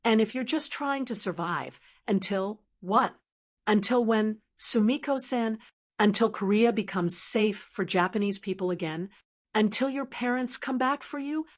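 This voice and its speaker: A woman's voice: unhurried, natural voice. Woman reading an earnest, probing male teacher. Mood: pressing. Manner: low, pressing questions.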